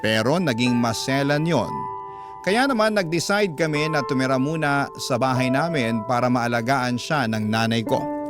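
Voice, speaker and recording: A man's voice: medium pitch, normal speed. A man with a Filipino accent, narrating an emotional first-person story in radio drama style. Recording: music bed behind narration. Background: music.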